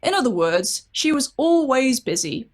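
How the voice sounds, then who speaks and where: A woman's voice: high voice, very animated, normal speed. A woman reading a scripted story aloud as a dramatic narrator.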